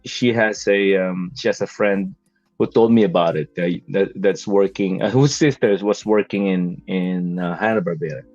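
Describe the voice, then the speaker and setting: A man's voice: low voice, moderate pace, darkish tone, no echo, soft background music. A Filipino-accented man in his fifties, an animation artist answering interview questions hesitantly, with many fillers and restarts. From Philippines. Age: 50s. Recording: dull lo-fi dry sound.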